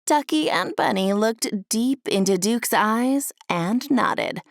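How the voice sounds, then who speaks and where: Female storybook narrator, higher-pitched and very lively, for scripted children's tales. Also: slow pace, creaky, no echo, studio quality.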